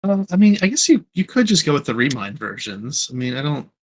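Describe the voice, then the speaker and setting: A man's voice: fairly high pitch, big pitch swings, a little fast, husky. A man in a casual group podcast, speaking hesitantly as he thinks things through aloud. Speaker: podcast panelist and fan voice actor.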